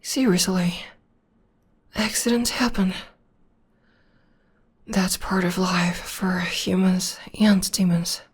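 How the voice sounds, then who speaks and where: A woman voicing a devoted young witch girlfriend in an ASMR roleplay, talking in a soft half-whisper close to the mic. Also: fairly low pitch, steady tone, takes it slowly, pauses often, breathy edge. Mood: tender, reassuring. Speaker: voice actor.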